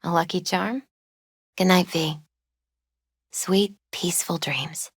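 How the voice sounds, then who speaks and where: A woman playing a gentle, spiritual young tarot reader in a video game, soft-spoken, unhurried and often hushed. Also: lowish voice, steady tone, breathy edge, no room sound, studio quality, exaggerated voice. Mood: tender.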